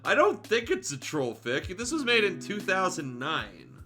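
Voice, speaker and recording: A man's voice: high-pitched, huge pitch swings, a little slow, over music. A man livestreaming a read-aloud of fan fiction, breaking off for sardonic, sweary, off-the-cuff commentary.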